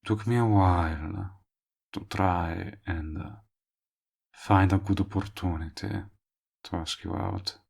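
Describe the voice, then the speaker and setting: A man acts out a lovestruck villain in a romantic audio roleplay. His voice is very low and full of gravelly fry, and he takes his time with every line. He sounds smug and teasing, yet tender, as he courts the listener up close. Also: steady tone, darkish tone, exaggerated voice. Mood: wistful, awkward. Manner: slow, murmuring, teasing. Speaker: voice actor.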